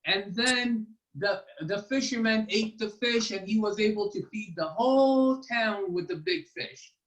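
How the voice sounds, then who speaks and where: A man voicing a nervous, eager, bumbling storyteller from a children's tale, halting and obsequious. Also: high voice, a bit bright, pitched above the performer's own voice, low-quality recording. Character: nervous, eager, bumbling male storyteller pleading before royalty.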